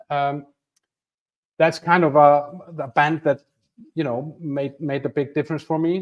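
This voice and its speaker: A man in his fifties from Iceland hosting a livestream from home, talking casually to viewers, chatty and self-deprecating with many restarts. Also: on the high side. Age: 50s. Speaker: channel host. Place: home room with a flag behind him.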